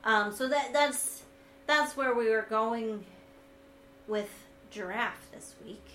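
Sweet, high-voiced woman in an echoey room. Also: slow. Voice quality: high, sweet, gentle-sounding voice. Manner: wry, self-deprecating, often laughing. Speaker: vlogger and co-host discussing their video series. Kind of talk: casual reflective conversation. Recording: echoey room, light background noise.

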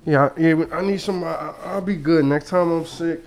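A man's voice: on the high side, fairly even intonation, normal speed. A young man hosting a podcast in a casual, slangy way, stuffy and congested from a cold. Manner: laid-back, slangy, chuckling. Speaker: podcast host.

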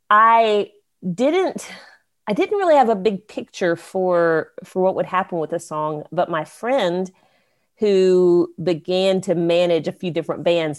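A woman from the Carolinas, a songwriter guest telling her story in an interview, bubbly and rambling with laughs. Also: fairly low pitch.

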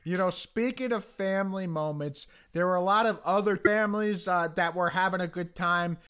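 A hoarse American man co-hosting a sports podcast. He talks earnestly, restarts often and leans on fillers. His voice is notably high for a man. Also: moderate pace, pushed and bright. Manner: earnest, many restarts and you-knows. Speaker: podcast co-host. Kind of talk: casual sports chat.

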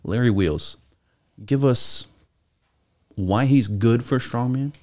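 A man's voice: mid-range voice, takes it slowly, darkish tone. A man co-hosting a podcast, setting up the question in a casual, agreeable way. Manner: brief agreeable interjections, steers the questions. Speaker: podcast co-host.

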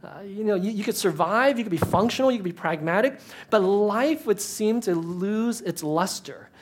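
A man's voice: high-pitched, lively intonation, normal speed, husky. A man in ministry giving a conversational talk to an audience, chatty and self-deprecating, with asides and many restarts.

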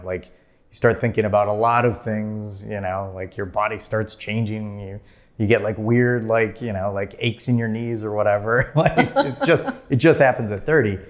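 A man's voice: lowish voice, moderate pace. A man in his thirties, a filmmaker guest, telling his story in a casual interview with lots of fillers and easy laughs. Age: 30s. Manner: rambling, many "like" fillers, laughs easily.

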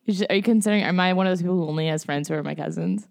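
A woman's voice: fairly low pitch, racing, creaky. A young woman talking as a guest in a casual podcast chat, playful and self-mocking, telling stories with animation into an inexpensive podcast microphone.

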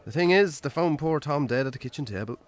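A man's voice: a little high, expressive, fast, close to an everyday voice. Weary Irish farmer, played by a man. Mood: sombre, matter-of-fact. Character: weary lonely Irish farmer, muttering to his cattle, plain-spoken. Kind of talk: naturalistic radio drama acting.

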